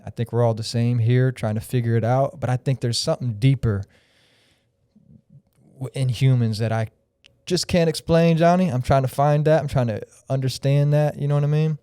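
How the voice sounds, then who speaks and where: A man's voice: mid-range voice, fairly even intonation, moderate pace, mellow, clear, resonant tone, no echo, studio quality. A man in his thirties from Florida, a podcast guest talking in a rambling, reflective, freewheeling way. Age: 30s. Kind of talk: casual podcast chat.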